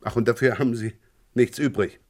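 Measured, formal police inspector, played by a man. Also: medium pitch, fairly even intonation, natural voice. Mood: polite probing. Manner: measured, formal, probing questioning. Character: unhurried, exacting male police chief inspector in Paris. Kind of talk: 1980s German radio crime drama acting.

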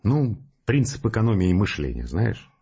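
A rattled skeptic scientist in an audio play, very raspy low voice, wry and grumbly. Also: male voice, sing-song, normal speed, mellow, dull audio, heavily acted. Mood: nervous reasoning. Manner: colloquial, wry, reluctant. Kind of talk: Russian audio drama acting.

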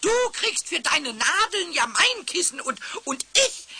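A man voicing a cheeky, childlike little kobold in a 1970s children's radio play, squeaky and stammering with excitement. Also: measured pace, heavily acted. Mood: defiant. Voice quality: squeaky, shrill, piping.

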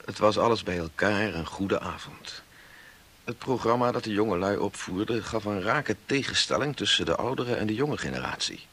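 A man's voice: on the low side, lively intonation, moderate pace, fairly bright tone, slightly rough, full character voice. An older actor playing a devout, reflective grandfather who narrates his family's story earnestly and with measure in a 1960s radio drama. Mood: reflective, contented.

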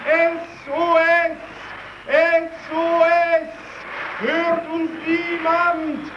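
A stranded polar radio operator in a radio play. His voice is very high and strained with desperation, chanting each distress call extremely slowly and urgently. Loud noise sits around him on the old recording. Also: monotone, lo-fi sound, heavily acted. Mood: desperate. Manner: urgent, chanting, repetitive calling. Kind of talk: German radio play acting, slow distress-call declamation.

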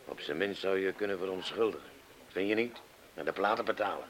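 An actor playing a reproachful, sensible friend in a radio play, matter-of-fact in manner. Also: male voice, low-pitched, a little fast, a bit bright.

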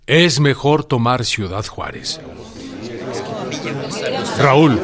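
An actor playing an earnest, insistent revolutionary president with a Mexican accent, speaking formally and deliberately in a radio drama. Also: male voice, mid-range voice, over a noisy crowd. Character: earnest, insistent revolutionary president addressing his military chiefs.

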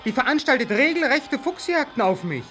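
Eccentric young guest, high-strung, impish, gleefully conspiratorial, campy, vintage radio drama. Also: male voice, sing-song, a little fast, pushed and bright, soft background music, full character voice. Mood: aggrieved. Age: young adult. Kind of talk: 1950s German radio play acting.

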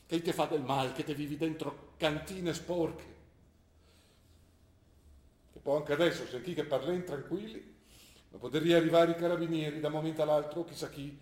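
A man's voice: fairly high pitch, moderate pace, pauses often, darkish tone, lightly acted. An older man playing an ill, worried father who lectures and pleads with his daughter, in an earnest manner. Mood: pleading. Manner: earnest, lecturing, rising to anguished pleas. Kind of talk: stage drama acting. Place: theatre stage. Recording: roomy stage recording.

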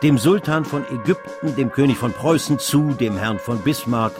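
A man's voice: medium pitch, normal speed, music in the background, close to an everyday voice. A man narrating a literary radio drama as an eloquent storyteller. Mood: sweeping, vivid narration. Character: eloquent storyteller chronicling a financial frenzy.

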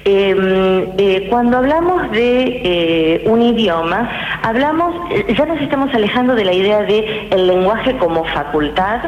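A woman, a guest linguist on a radio interview, explaining in a measured, academic way with many hesitations. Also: mid-range voice, expressive. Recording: roomy, muffled radio with hiss.

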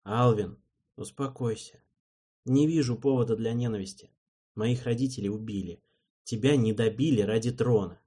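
A man voicing a calm, patient young male king who speaks quietly and slowly. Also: mid-range voice, husky, lightly acted. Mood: gentle. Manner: quiet, soothing, measured.

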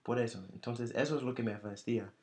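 American guy chatting in his learned spanish, lots of restarts and little laughs, mid pitch. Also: darkish tone. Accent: American English. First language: English. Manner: halting, restarts often, laughs between phrases. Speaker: podcast co-host. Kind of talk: casual conversational interview.

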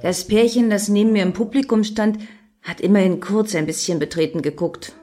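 A woman's voice: mid-range voice, brisk, warm tone, natural voice. An actress playing an earnest, reproachful and solemn female devotee in a German radio play. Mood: indignant. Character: earnest, indignant female devotee on a protective mission. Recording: faint noise and clicks.

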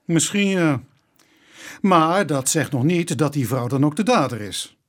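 An actor playing a sceptical, businesslike police inspector in a radio drama, with a dry, formal way of reasoning. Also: male voice, on the high side, lively intonation, a little slow, a bit bright.

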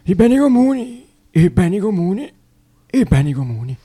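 A man voicing a squeaky, excitable male professor who keeps chanting the same phrase over and over. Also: normal speed, slightly rough, no room sound, heavily acted.

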